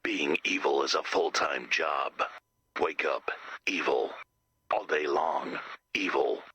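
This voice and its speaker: An actor playing a menacing, deadpan slasher robot in a video game, speaking in terse, ominous statements. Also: male voice, very deep voice, slow, husky, no room sound, exaggerated voice. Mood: deadpan menace. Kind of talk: scripted video game voice acting.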